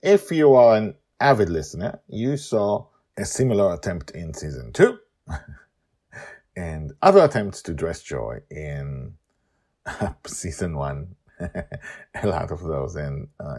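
A man's voice: low-pitched, very animated, unhurried, long pauses, a little mellow, breathy edge. A man hosting a storytelling podcast, moving between casual talk and scripted narration with theatrical emphasis.

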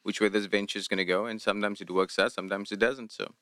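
A man's voice: fairly low pitch, fairly even intonation, fast talker, fairly bright tone, no echo, clean studio sound. A man from South Africa with a South African accent, a native Afrikaans speaker, telling jokey stories as a podcast guest. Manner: laid-back, jokey storyteller. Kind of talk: casual chat.

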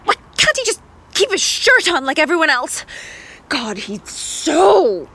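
High-voiced, insecure, huffy student. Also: female voice, very animated, unhurried, vocal fry, pushed and bright, exaggerated voice. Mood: exasperated. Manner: self-doubting, exasperated. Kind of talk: radio drama acting.

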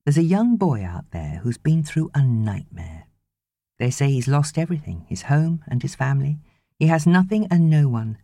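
A woman's voice: sits low, sing-song, normal speed, warm tone, no echo, heavily acted. A woman voicing an earnest, loving husband and father in an audiobook, pleading and persuading emphatically. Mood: earnest, tender.

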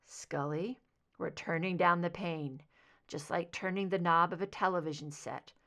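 A male agent speaking low and soft, soothing his partner through a painful hypnosis session. His voice is hushed and steady, as a woman narrator voices him. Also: measured pace. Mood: tender. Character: gentle anguished male agent guiding hypnosis.

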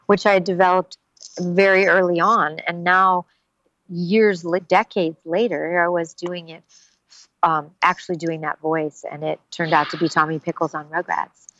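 A woman's voice: lowish voice, flat delivery, moderate pace, a bit bright, lo-fi sound. A middle-aged woman voice actress, chatting animatedly in an interview and slipping into little character voices. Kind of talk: casual interview talk.

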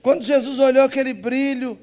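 A man with a Minas Gerais Brazilian accent, preaching a humorous sermon in church, jumping between loud emphatic lines and soft asides. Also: very high voice, fairly even intonation, brisk. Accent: Minas Gerais Brazilian Portuguese.

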